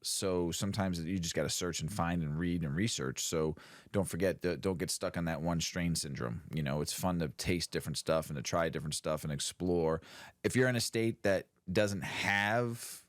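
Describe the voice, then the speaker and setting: A man with a very low voice chats in a loose, rambling way, laughing often between thoughts. His voice is recorded close and dry, with no room sound. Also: normal speed, no room sound. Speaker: podcast co-host. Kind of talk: casual stoner podcast chat.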